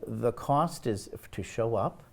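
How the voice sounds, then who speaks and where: A man's voice: medium pitch, animated, unhurried. A man giving explanatory, somewhat hesitant interview answers as a church representative.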